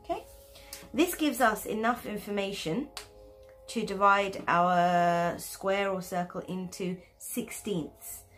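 A woman's voice: mid-range voice, takes it slowly, fairly bright tone, soft background music. A woman talking viewers through drawing steps at her desk, chatty and self-deprecating. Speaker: art teacher. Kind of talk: step-by-step drawing tutorial.

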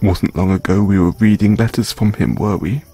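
Hushed, husky man consoling tenderly. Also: lowish voice, normal speed, faint music behind. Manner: soothing, tender, hushed, frequent sighs. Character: gentle young boyfriend consoling his grieving partner. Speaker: audio roleplay performer. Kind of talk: intimate comfort audio roleplay.

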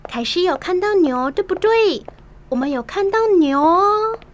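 A young mother in a radio drama. She coaxes her little child in sing-song baby talk, with an extremely high-pitched voice. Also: lively intonation, normal speed, a little hiss or hum. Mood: coaxing. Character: young mother coaxing her toddler, speaking Mandarin.

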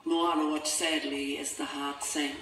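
A man's voice: on the high side, little pitch movement, unhurried, sharp tone, a little hiss or hum. A man reading a scripted children's storybook as its narrator. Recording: echoey, distant, music behind.

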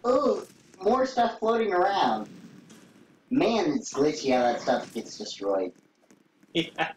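A woman's voice: deep voice, sing-song, slow, darkish tone, recorded from a distance. A talkative woman explains things while she plays, set back from the mic. Her voice rings in a roomy space with faint noise beneath. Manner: chatty, explaining, giggly. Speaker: gamer. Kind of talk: casual gameplay chat.

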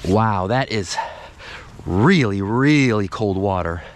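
A man's voice: on the low side, expressive, slow pace, noisy background. A young man chatting casually to the camera as he fishes from a snowy riverbank, thinking aloud about each catch. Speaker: fishing vlogger. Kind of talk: casual running commentary while fishing. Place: outdoors on a snowy riverbank in winter. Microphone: camera worn on the body or handheld at close range.

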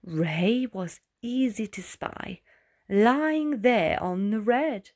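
A woman narrating a rhyming children's storybook aloud with dramatic, deliberate phrasing. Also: medium pitch, expressive, darkish tone.

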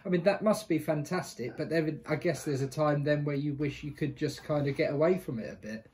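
A British man in his thirties with a slightly high voice co-hosts an easygoing chat about old memories. He talks casually at a table indoors, picked up from a short distance. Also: a little fast, a little mellow, slight background noise. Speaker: co-host. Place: small plain room indoors, seated at a table.

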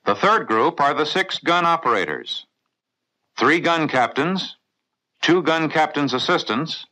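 A man with an American accent reading scripted mid-century instructional film narration for the navy in a clear, methodical, authoritative way. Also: on the high side, lively intonation, measured pace, bright, forceful tone, poor audio. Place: voice-over recorded in a studio.

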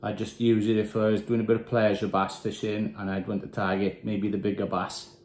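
A man in his thirties from Wales, calmly talking through tying a fishing rig at a desk. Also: on the low side, brisk. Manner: calm, plain, talking while tying knots. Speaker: angler demonstrating a rig. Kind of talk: casual step-by-step tutorial. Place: small indoor room with windows. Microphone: phone camera on the desk. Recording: echoey room.

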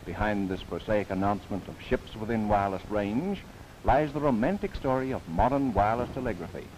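A man's voice: lowish voice, brisk, noisy background. A man narrating a scripted 1930s government documentary in formal, theatrical phrasing with wry asides, on an old film soundtrack.

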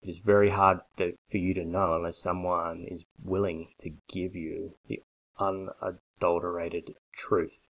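Deep gravelly man pitching bluntly. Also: little pitch movement, unhurried, rough recording. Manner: blunt, persuasive, emphatic with hand gestures. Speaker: coffee business consultant. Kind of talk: direct-to-camera sales pitch. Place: small bare office with desk, indoors. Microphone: webcam at desk.

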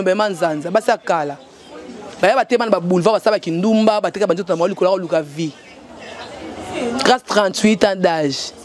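A middle-aged Congolese woman ranting loudly and scolding into a handheld microphone outdoors. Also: a little high. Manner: loud, scolding and animated, gesturing. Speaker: interviewee. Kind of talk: heated rant. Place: outdoors by a tiled wall. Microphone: handheld mic in front.

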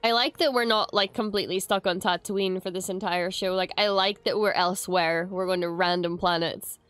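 A young woman in her twenties with a stuffy, blocked nose, casually reacting to a show from her bedroom setup on a boom mic, excitable and prone to shrieks and swearing. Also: moderate pace, clean tone, dry recording. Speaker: reaction streamer. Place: bedroom streaming setup with colorful lights. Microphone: studio mic on boom arm, headphones. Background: show audio playing.

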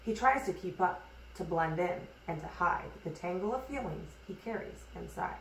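A woman reading a rhyming children's picture book aloud in an expressive, measured storytelling way. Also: fairly low pitch, creaky. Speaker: storybook reader. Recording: echoey room, distant mic, hissy noise.

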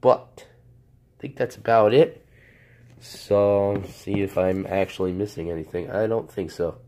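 Hoarse man rambling through a review. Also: fairly low pitch, measured pace, warm tone. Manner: rambling, self-correcting, chuckles at himself. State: hoarse, recovering from a cold. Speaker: product reviewer. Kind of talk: casual unboxing review. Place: indoors at a table. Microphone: handheld camera, off-screen speaker.

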